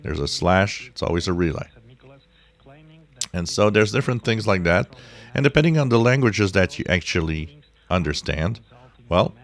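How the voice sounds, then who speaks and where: A man giving a casual tutorial as a hobbyist, thinking aloud in an unhurried way. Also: sits low, animated. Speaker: shortwave radio hobbyist presenting a tutorial. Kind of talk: casual explanatory screen-share tutorial.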